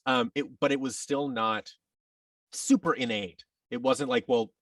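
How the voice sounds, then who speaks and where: A man's voice: mid-range voice, huge pitch swings, measured pace, vocal fry, a bit bright, no room sound, studio quality, distant mic. A man in his thirties talking casually as an interview guest, rambling and opinionated. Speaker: podcast guest, audio drama producer and actor.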